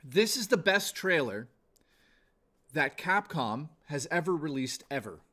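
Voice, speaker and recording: A man's voice: on the high side, sing-song, measured pace, pushed and bright. A man in his forties chatting casually to viewers as a gaming livestreamer, informal and exclamatory, with some swearing. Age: 40s.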